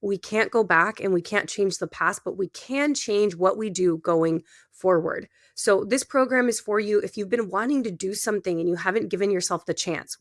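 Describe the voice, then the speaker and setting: A woman speaking as a life coach in an upbeat motivational webinar, talking straight to her listeners. Her voice is stuffy and a little nasal from a cold, at a middle pitch. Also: fairly bright tone. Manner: encouraging, direct address to listeners. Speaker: coach and podcast host.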